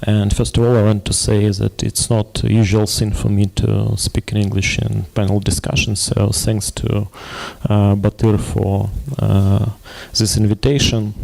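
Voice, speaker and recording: A man from Ukraine in his forties with a low, gravelly and dark voice. He speaks haltingly on a business panel, pausing to search for words. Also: flat delivery. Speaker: panel guest, digital agency executive. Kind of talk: panel discussion.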